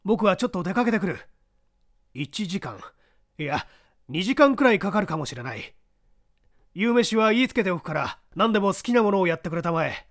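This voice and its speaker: A male narrator voicing an excitable middle-aged private detective who talks in an old-fashioned, lordly way. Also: sits high, voice pushed up, exaggerated voice. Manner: old-fashioned lordly speech, flustered and effusive.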